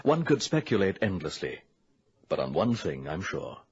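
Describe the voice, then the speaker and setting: A man narrating an old radio adventure drama from a script in a grave, deliberate storytelling manner. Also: deep voice, very animated, breathy edge. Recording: dull vintage radio recording.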